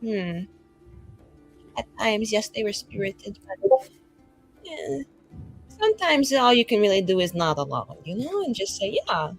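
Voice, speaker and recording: A woman's voice: medium pitch, big pitch swings, a little slow, vocal fry, long pauses, breathy edge, soft background music, exaggerated voice, poor audio. A woman voicing a candid, casual young woman in tabletop roleplay, rambling evasively in loose everyday phrasing. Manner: casual, rambling, filler-heavy. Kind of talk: improvised in-character tabletop roleplay.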